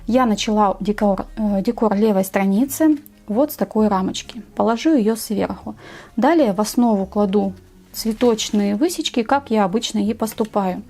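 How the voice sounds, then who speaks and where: A woman narrating a step-by-step craft tutorial in a measured, explanatory way. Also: mid-range voice, dark tone.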